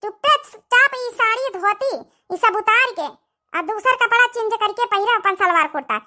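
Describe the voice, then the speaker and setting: A woman voicing a sly, threatening middle-aged stepmother in an Awadhi comedy cartoon, bossy and forceful, with an Awadhi accent. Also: very high-pitched, animated, moderate pace, heavily acted.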